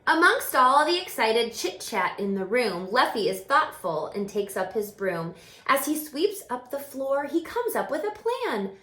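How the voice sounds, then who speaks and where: A woman reading a children's story aloud in an expressive, explaining manner, pausing to put questions to young listeners. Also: high-pitched. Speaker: story reader and teacher. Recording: roomy, echoing room sound.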